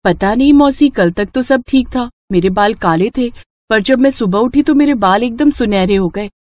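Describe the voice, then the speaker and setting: A woman playing a dutiful young potter's daughter in a cartoon story, gentle and innocent in manner. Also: fairly high pitch, expressive, normal speed, no echo, close to an everyday voice. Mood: puzzled.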